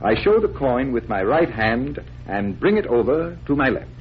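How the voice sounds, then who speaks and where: Man playing a showy stage magician, animated, vintage radio. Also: medium pitch, natural voice. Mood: explaining. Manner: theatrical, deliberate patter. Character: genial male stage magician explaining tricks, showmanlike, confident. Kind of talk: 1940s radio acting. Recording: noisy, dull vintage 1940s radio recording.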